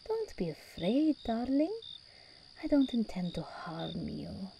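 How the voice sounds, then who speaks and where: A woman's voice: fairly high pitch, very animated, takes it slowly, vocal fry, warm tone, slight background noise, heavily acted. A woman playing a regal, seductive demon princess in an ASMR roleplay, theatrical and teasing, commanding yet tender with her endearments. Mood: curious, coaxing.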